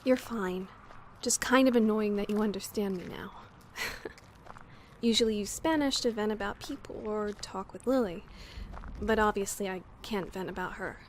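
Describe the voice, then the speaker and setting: A woman's voice: medium pitch, normal speed, a little hiss or hum, close to an everyday voice. A woman playing a frustrated young camp assistant in an audio drama, with a sardonic, sighing, conversational manner. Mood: wry. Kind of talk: naturalistic audio drama acting.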